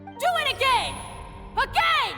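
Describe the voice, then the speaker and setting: A woman's voice: very high voice, sing-song, a little slow, creaky, bright, forceful tone, big-room sound, soft background music, heavily acted. A woman playing a merciless, furious head chef in a video game, barking harsh commands. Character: furious, merciless female kitchen tyrant barking orders.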